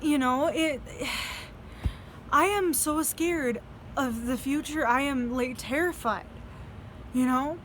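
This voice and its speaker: A woman's voice: high voice, slow pace, long pauses, slight background noise. A young woman telling stories in a casual video diary, rambling, swearing freely and laughing between sentences. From United States. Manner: rambling, profane, laughs often. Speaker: vlogger.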